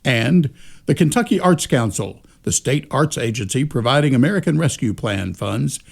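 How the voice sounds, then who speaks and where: Mid-pitched male radio play announcer. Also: lively intonation, moderate pace, creaky, husky. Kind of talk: scripted credits and sponsor announcement.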